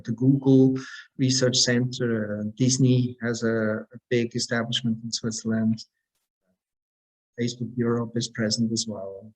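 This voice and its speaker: A man speaking as an embassy representative in a webinar, presenting information in a measured, explanatory and promotional way. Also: mid-range voice, a little mellow, poor audio.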